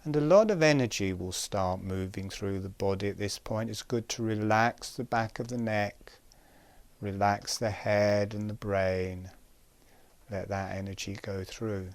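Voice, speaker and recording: A man giving guided breathing instruction in a calm, gentle, measured way, pausing between short phrases. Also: on the low side, dry recording.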